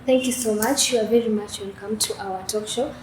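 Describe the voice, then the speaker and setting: A woman's voice: mid-range voice, steady tone, brisk, a little mellow. A young woman hosting a talk show, asking questions with frequent repeated words and restarts. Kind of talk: interviewing. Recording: echoey room with faint noise.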